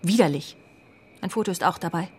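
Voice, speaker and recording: An actress playing an efficient, wry police secretary in a naturalistic radio drama. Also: female voice, on the low side, a little fast, a little hiss or hum, natural voice. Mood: disapproving.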